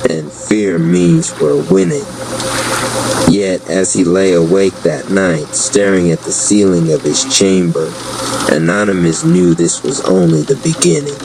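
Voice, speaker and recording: A man with a very low voice narrates a dramatic tale. He moves slowly and with measure from one sentence to the next. There is clear noise behind the voice. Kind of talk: scripted dramatic audiobook narration.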